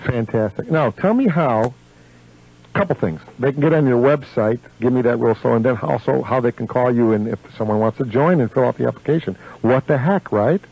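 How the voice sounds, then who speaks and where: A man's voice: medium pitch, a little fast, vocal fry, husky. A man hosting a live local radio show, chatting with effusive exclamations of praise. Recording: dull lo-fi AM radio recording.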